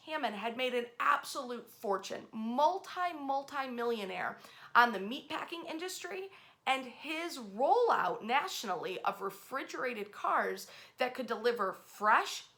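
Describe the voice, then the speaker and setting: An American woman hosting a history storytelling series, speaking in a casual, conversational and emphatic way. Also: on the high side. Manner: animated and emphatic, acts out short quotes.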